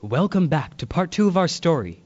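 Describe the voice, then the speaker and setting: A man's voice: a little high, sing-song, moderate pace, darkish tone, muffled recording. A man playing the storyteller, reading scripted narration for a Christmas radio play. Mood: suspenseful storytelling.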